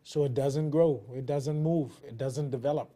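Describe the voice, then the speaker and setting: Defiant Bahamian man, dark-toned voice. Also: mid-range voice, fairly even intonation, normal speed. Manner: defiant, emphatic, preaching cadence. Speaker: TV commentary show host. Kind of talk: fiery political commentary. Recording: television broadcast.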